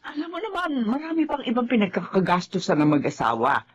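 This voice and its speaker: An actress playing a middle-aged mother who gently pleads with her daughter for money. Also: mid-range voice, wildly swooping pitch, fast talker, slight background noise. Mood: pleading. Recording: dull lo-fi with faint ambience.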